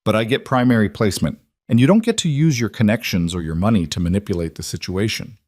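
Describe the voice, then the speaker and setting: A man's voice: on the low side, expressive, fast talker, a little mellow, no room sound. A man plays a weary, wary woman, pregnant and estranged from her husband, in an audiobook romance. The delivery is firm and guarded, recorded very dry.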